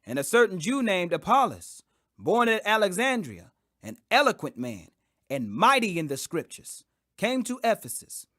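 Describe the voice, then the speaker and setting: Animated, high-pitched man reading scripture. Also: moderate pace, no echo, clean studio sound. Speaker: scripture reader. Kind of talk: scripted audiobook narration of King James Bible.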